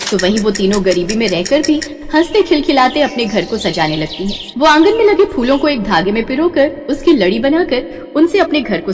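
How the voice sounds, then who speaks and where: A woman tells a cartoon story with a very lively, sing-song delivery. Her voice sits fairly high. It is bathed in reverb, and soft music plays underneath. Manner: expressive, melodic. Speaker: narrator. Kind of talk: scripted storytelling narration.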